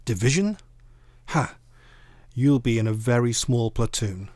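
An actor playing a stern, sceptical military commander in a science-fiction audio drama, speaking formally and with authority. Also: male voice, medium pitch, animated, measured pace, a little mellow, no echo, clean studio sound. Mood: briskly commanding.

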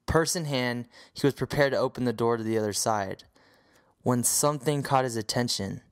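A man's voice: medium pitch, normal speed. A man reading scripted story narration as the narrator of an audio drama.

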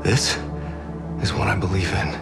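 Gravelly, earnest American soldier. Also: male voice, deep voice, steady tone, moderate pace, over loud music. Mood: pleading, resolved. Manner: earnest, intense, restrained. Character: earnest, loyal male soldier, willing to sacrifice himself. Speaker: actor in a military game cutscene. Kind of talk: cinematic dramatic game dialogue.

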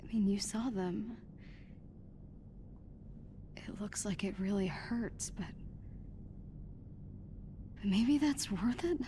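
A young woman playing an insecure, awkward teenage superhero fan in a video game, halting and self-doubting, with a hushed, breathy delivery. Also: medium pitch, a little hiss or hum, natural voice. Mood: anxious, vulnerable. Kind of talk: naturalistic video game acting.

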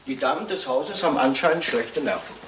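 An actor playing a fastidious, shrewd Belgian detective in a German crime radio play, speaking precisely and probingly with French words sprinkled in. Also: male voice, on the high side, expressive, fairly bright tone, slight background noise.

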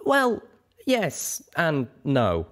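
A man's voice: on the high side, wildly swooping pitch, extremely slow, fairly bright tone, pitched above the performer's own voice, full character voice. A man voicing a huge old male dragon in a story reading, earnest and nostalgic, with a reflective, emphatic manner. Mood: explaining, careful.

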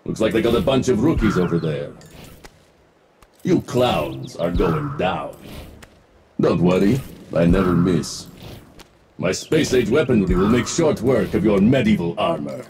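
A man's voice: low voice, expressive, a little slow, pauses often, noisy background. A man voicing a smug, wisecracking futuristic mech-suit warrior for a video game, in punchy, boastful lines. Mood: smug, taunting. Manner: boastful, punchy, clipped callouts. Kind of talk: video game voice pack barks.

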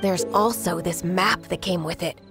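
A measured informant. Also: female voice, lowish voice, gravelly, music in the background. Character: grateful adult woman informant. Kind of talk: video game dialogue.

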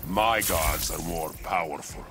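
A man voicing a mighty warrior in a video game, boasting in a grand, deliberate manner. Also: deep voice, gravelly. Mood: proud. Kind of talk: video game dramatic acting. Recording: music under the dialogue.

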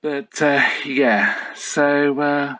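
A man's voice: on the high side, fairly even intonation, very slow, sharp tone. A middle-aged man giving a casual, unscripted show-and-tell, musing hesitantly as he goes. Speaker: YouTube host. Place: indoors at home.

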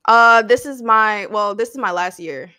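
A woman's voice: mid-range voice, unhurried, pushed and bright, no echo, clean studio sound. A woman in her twenties chatting easily about school and games, speaking into a desk mic at home. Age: 20s. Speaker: streamer, nursing student. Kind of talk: casual date chat. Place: home room, indoors.

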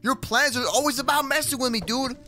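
A man playing an excitable, sassy kid hero in an animated gaming roleplay, peppering his talk with slang. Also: extremely high pitch, a little fast, faint music behind. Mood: indignant. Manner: slangy, says bro and dude constantly. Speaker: gaming video creator playing the lead character. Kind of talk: animated Minecraft kids roleplay acting.

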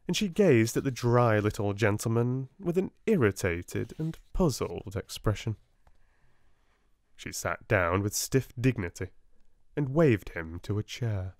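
A man with a British accent reading a classic children's novel aloud in a gentle, soft-spoken read-along style, close to the mic at a table indoors. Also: on the low side, no echo. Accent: British English. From Britain. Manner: gentle, calm and unhurried. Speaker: audiobook narrator. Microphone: close mic over a book on a table.

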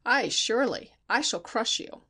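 A woman's voice: on the high side, lively intonation, normal speed, bright, forceful tone, breathy edge, voice pushed up, exaggerated voice. Woman doing a menacing heavy foreign villain. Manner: threatening, clipped and cold.

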